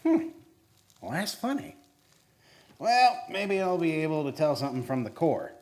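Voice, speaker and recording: Man playing a jittery, high-strung American oil geologist. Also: sing-song, normal speed. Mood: musing, casual. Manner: hesitant, stammering, nervous. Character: skinny, jittery, sheepish male oil field geologist. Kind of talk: radio play acting.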